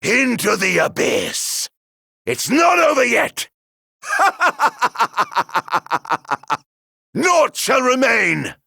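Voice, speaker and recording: A sardonic, genteel game villain in middle age. His slow, drawn-out phrases swoop dramatically from low to high with a gravelly edge. He is flamboyant and mockingly polite throughout. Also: very animated, sharp tone, no echo, shouting, studio quality, exaggerated voice. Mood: fierce, exultant. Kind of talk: video game battle voice lines.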